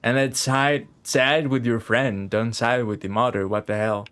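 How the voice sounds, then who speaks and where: A man giving casual, halting, reflective livestream commentary on a video game in an informal way. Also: medium pitch, steady tone, a bit bright, clean tone. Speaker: game streamer. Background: faint game music.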